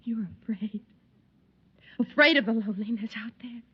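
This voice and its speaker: An actress playing a yearning, defiant wife with emotional, deliberate delivery in a 1950s radio drama. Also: female voice, on the high side, husky. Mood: bitter, defiant. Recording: muffled vintage 1950s radio recording.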